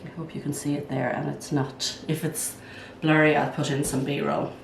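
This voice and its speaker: An older woman with a hoarse, croaky voice from a cold, chatting casually as a plant vlog host at home while she works, thinking aloud. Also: deep voice, flat delivery, moderate pace, slight background noise. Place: at home, indoors. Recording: roomy sound from a distance.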